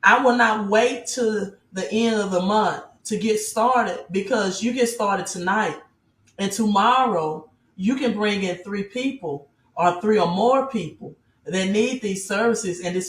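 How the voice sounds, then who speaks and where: Female motivational business promoter, mid-pitched voice, emphatic and repetitive delivery, unscripted sales pitch with rhetorical questions, echoey room sound. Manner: emphatic, repeats key phrases, rhetorical questions. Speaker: business promoter and team leader giving an online presentation.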